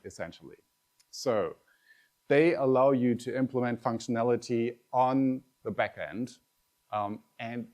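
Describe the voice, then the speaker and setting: German guy, native speaker of german, giving a chatty tech talk, middle pitch, talks right to the crowd. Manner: lively, conversational, addresses audience directly. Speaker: conference speaker.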